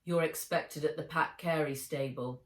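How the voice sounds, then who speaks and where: Woman doing a storybook gate guard, matter of fact, low and unhurried, echoey. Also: steady tone, distant mic, close to an everyday voice. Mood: businesslike. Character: official male gate guard giving directions. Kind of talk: children's audiobook character voice. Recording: roomy, echoey room sound.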